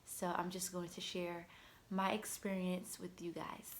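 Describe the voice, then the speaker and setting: A woman's voice: on the low side, flat delivery, a little slow, slight background noise. A woman in her twenties talking casually to camera as a vlogger, chatty and loose, with a lot of "like" and "you know". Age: 20s. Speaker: vlogger mom.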